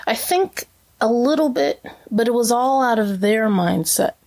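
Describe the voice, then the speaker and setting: A woman's voice: fairly high pitch, expressive, a little slow, darkish tone. A woman who grew up as a missionary kid, talking as an interview guest in a casual, reflective way with many fillers. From Brazil, raised as a missionary kid. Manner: chatty, many fillers and restarts.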